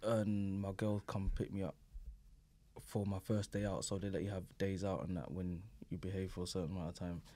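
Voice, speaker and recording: A young man, a guest comedian telling stories in a casual podcast chat, slangy and quick to laugh. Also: low-pitched, flat delivery, warm tone, no room sound. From England. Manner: laid-back storyteller, laughs often, slangy.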